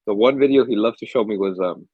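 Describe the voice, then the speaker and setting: A man's voice: lowish voice, fast, breathy edge, dry recording, highs cut off. Stuffy young man telling stories. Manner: laid-back, swears freely, rambling storyteller. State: stuffy, under the weather. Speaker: podcast co-host. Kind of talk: casual chat.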